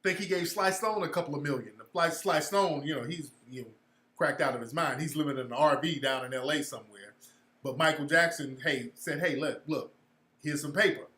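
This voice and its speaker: Male commentator, high bright voice, emphatic and profane, echoey room. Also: brisk. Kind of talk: casual commentary.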